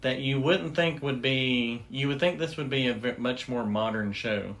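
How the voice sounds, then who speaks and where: A woman's voice: very deep voice, normal speed. A woman hosting a show, explaining things in casual, conversational chat. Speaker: host. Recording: faint background noise.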